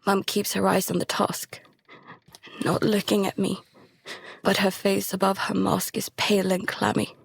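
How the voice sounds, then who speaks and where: A woman playing a frightened, guilt-ridden daughter in an audio drama, narrating and speaking in an anxious, halting, hushed way. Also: mid-range voice, little pitch movement, gravelly, slightly rough, dry recording, exaggerated voice. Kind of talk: audio drama, first-person present-tense narration and dialogue. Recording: music behind the voice.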